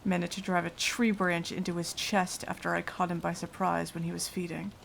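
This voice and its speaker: A woman playing a haunted, remorseful vampire in an ASMR roleplay, confiding in a hushed, halting, dramatic voice that often drops to a whisper. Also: fairly low pitch, flat delivery, gravelly. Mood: regretful, resolute. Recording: light rain noise behind voice. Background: rain.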